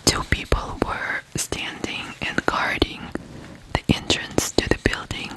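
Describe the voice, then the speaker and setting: An ASMR host from Ukraine whispering a true crime story in a hushed, deliberate way with pauses. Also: poor audio.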